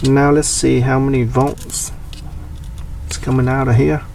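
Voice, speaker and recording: A man's voice: mid-range voice, little pitch movement, measured pace, gravelly, darkish tone. A man giving a casual hands-on DIY demonstration, explaining step by step in short, plain phrases. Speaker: DIY tutorial host. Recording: distant mic, background noise.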